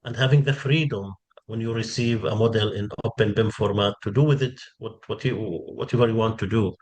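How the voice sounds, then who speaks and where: Male interview guest, forties, Syrian Arabic accent, lowish register, explanatory, searches for phrasing with repeated words. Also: a little fast, a little mellow. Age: 40s. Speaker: interview guest, engineering expert.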